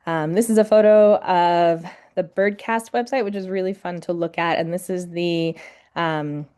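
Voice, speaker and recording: A woman's voice: on the low side, steady tone, normal speed, dark tone, clear, resonant tone. A woman from New York City giving an informal academic webinar lecture over a video call, with frequent fillers. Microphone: computer mic on a video call.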